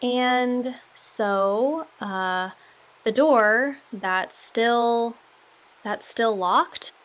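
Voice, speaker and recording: Woman as an anxious, high-voiced sidekick sleuth. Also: very slow. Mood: uneasy. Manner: hesitant, deadpan, questioning. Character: anxious, skeptical female sidekick sleuth with deadpan humor. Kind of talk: audio drama dialogue.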